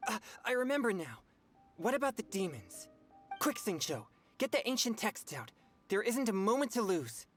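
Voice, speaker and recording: A woman's voice: mid-range voice, big pitch swings, moderate pace, faint music behind, close to an everyday voice. A woman voicing an earnest, naive teenage boy exorcist in a video game, with a serious, blunt and easily roused manner. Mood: urgent.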